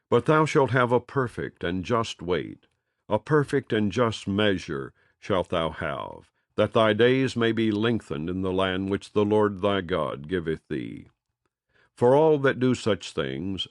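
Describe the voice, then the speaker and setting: A man reading scripture aloud in a measured, solemn cadence, letting phrases fall at their ends. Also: deep voice, no room sound, studio quality. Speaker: audio Bible reader.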